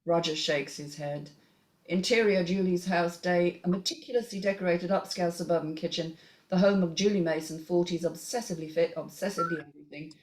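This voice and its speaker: A woman reads a screenplay aloud as its narrator. Her voice is on the low side, and she delivers scene headings and action lines in a scripted storytelling style. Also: fairly even intonation, a little fast, darkish tone, a little hiss or hum, low-quality recording. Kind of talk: scripted narration, reading stage directions.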